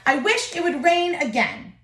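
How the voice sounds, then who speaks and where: A woman reading the part of an excitable, wishful young male donkey in a storybook, earnest and exclaiming, in an echoey room. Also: very high voice, moderate pace, voice pushed up, exaggerated voice.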